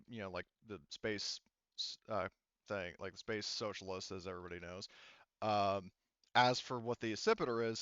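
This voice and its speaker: A man works through game master explanations in a casual, halting ramble with the odd swear word. His voice is medium in pitch and smooth. The sound is dry and slightly muffled, as from a lo-fi mic. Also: fairly bright tone, no room sound. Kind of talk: casual improvised explanation of tabletop game rules and lore.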